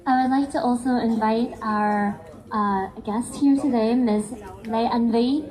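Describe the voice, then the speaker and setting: A woman's voice: a little high, fairly even intonation, unhurried, darkish tone. Woman announcing a guest speaker. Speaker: event emcee. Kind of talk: formal introduction.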